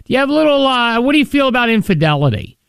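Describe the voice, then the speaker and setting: A man's voice: very high-pitched, very animated, fairly bright tone, smooth, dry recording, clean studio sound. A man in his thirties hosting a morning radio show, joking and teasing in casual banter. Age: 30s. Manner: jokey, teasing, quick asides. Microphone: studio microphone.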